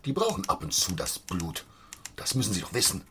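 An actor playing an agitated, bigoted butcher and father in a radio play, with a rough husky voice and urgent, indignant delivery. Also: low voice, huge pitch swings, fairly quick, noisy background. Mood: agitated, insinuating. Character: agitated, bigoted small-town butcher and father, rabble-rousing.

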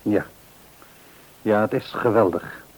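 A reassuring, devout father in a mid-century radio play. His voice is fairly low and noticeably husky. He counsels in a measured, earnest way. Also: darkish tone, rough recording. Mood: content, reflective. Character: devout, reassuring father of a household, middle-aged man.

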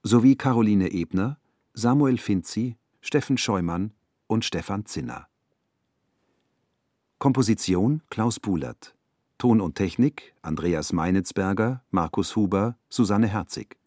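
Ein Mann liest als Ansager sachlich die Besetzung eines Hörspiels vor. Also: male voice, lowish voice, lively intonation, measured pace, darkish tone, no echo, clean studio sound. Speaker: announcer reading the credits. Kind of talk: measured scripted announcement.

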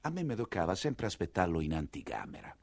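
A man with a Roman accent performing an earthy, expressive theatrical monologue as a storytelling coachman. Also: sits low, fast, no room sound, studio quality. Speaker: solo stage actor narrating as a coachman.

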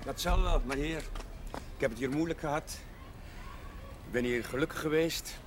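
Male actor sentimental earnest priest guy, high voice, noisy old tv drama. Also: normal speed, natural voice. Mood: wistful, earnest. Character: earnest, sentimental village chaplain. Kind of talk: Dutch television period drama acting. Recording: noisy old TV broadcast audio.

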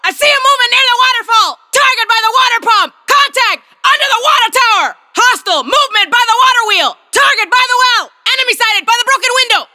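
A woman's voice: very high-pitched, lively intonation, fast, hardly any pauses, bright, forceful tone, shouting, heavily acted. A woman voicing a tough female soldier in a video game, barking clipped, urgent military callouts.

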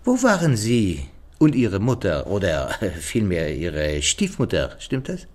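An actor playing a courteous, probing foreign detective in a radio drama, questioning politely and deliberately. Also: male voice, deep voice, huge pitch swings, a little mellow, exaggerated voice. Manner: polite, formal, deliberate questioning with small hesitations.

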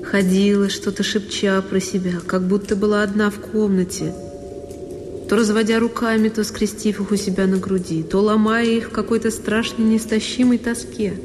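An actress playing a sorrowful young woman who recalls a lonely childhood, in an intimate, deliberate radio monologue. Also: medium pitch, little pitch movement, background noise, close to an everyday voice. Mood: wistful, pained. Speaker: solo actress. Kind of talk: dramatic literary monologue for radio. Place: studio radio production. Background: music.

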